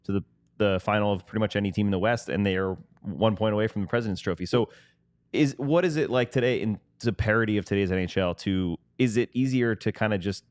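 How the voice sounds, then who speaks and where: A man's voice: lowish voice, fast talker, no room sound, clean studio sound. A man co-hosting a sports podcast, talking casually and reading sponsor spots. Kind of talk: casual sports talk and ad reads.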